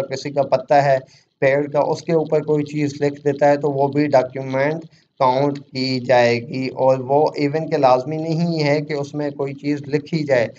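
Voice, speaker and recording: Male Pakistani lecturer, dark mellow voice, didactic question-and-answer delivery, slightly noisy recording. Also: medium pitch. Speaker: law exam lecturer. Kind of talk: explanatory lecture, reading English statute aloud and explaining it in Urdu. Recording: muffled lo-fi audio with faint noise.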